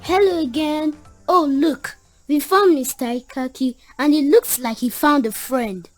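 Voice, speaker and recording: Woman as little cartoon girl, high-pitched, adventurous, encouraging guide, faint music behind. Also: unhurried. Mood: curious, friendly. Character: adventurous, cheerful seven-year-old girl. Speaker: child guide addressing young viewers. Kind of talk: educational children's cartoon voice acting.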